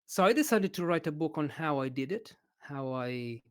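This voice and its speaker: A man from Italy with an Italian accent, an English teacher chatting as a podcast guest in a hesitant, expressive way. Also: on the high side, moderate pace, smooth. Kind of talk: casual interview chat.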